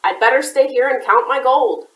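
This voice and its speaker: Woman voicing a lonely gentle giant. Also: high-pitched, moderate pace. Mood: sad. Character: lonely gentle male giant, cheerful and sad. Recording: roomy echoey room.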